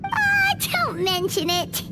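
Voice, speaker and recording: An extremely high, squeaky voice of a tiny childlike sprite, swooping with naive excitement. It is cute, chatty game acting over loud music. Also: female voice, takes it slowly, exaggerated voice. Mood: bashful.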